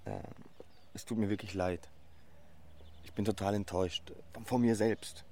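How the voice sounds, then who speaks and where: Hesitant man, low gravelly voice. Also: mellow. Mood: contrite, hesitant. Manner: hesitant, halting. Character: hesitant, polite Viennese man living in Berlin, apologetic. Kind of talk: naturalistic radio drama dialogue.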